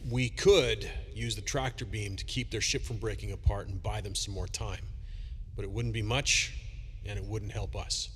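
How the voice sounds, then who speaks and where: A woman's voice: very deep voice, fairly even intonation, moderate pace, slight background noise. A woman playing a loyal, practical starship pilot in an audio drama, sincere and urgent.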